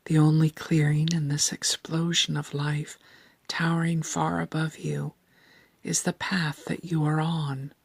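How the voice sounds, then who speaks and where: A woman leads a guided meditation in a low, hushed voice full of breath. She speaks very slowly and calmly, placing each phrase with care. The sound is close and dry, with a soothing, deliberate delivery. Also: no echo. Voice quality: soft, breathy, near-whispered. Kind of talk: slow scripted guided meditation narration.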